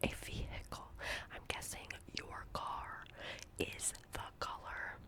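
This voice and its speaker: Asmr girl whispering super breathy, no real voice, repeats words n syllables over and over on purpose. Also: takes it slowly. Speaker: ASMR host. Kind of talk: whispered ASMR guessing game.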